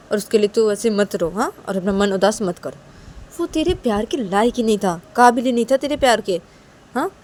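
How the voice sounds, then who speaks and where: A woman voicing a young woman friend who gently reassures, repeating her comfort. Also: mid-range voice, a little hiss or hum, lightly acted. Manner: soothing, reassuring, repetitive.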